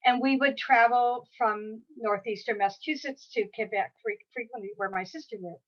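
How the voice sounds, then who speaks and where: Chatty woman in her 60s, kinda high voice, rambles and restarts a lot, muffled lo-fi video call sound. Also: moderate pace. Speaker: guest author. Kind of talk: casual conversation. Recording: dull lo-fi audio.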